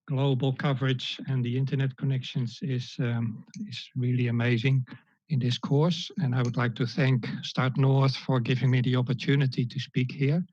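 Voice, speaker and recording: A man's voice: mid-range voice, a little mellow, breathy edge, no room sound. A Dutch-accented man in his fifties presents over a video call, stopping often with fillers and restarting his sentences. The call audio is dull and lo-fi, and the sound is dry and close, with no room around it. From Netherlands. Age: 50s. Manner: hesitant, many fillers and restarts. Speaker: guest speaker. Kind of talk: online presentation talk.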